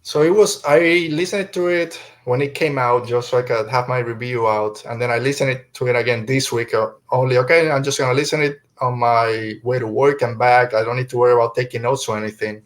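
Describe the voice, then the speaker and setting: A man's voice: mid-range voice, steady tone, a little fast, few pauses. Middle-aged man hosting a casual podcast. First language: Spanish. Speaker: podcast host. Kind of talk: casual podcast review discussion.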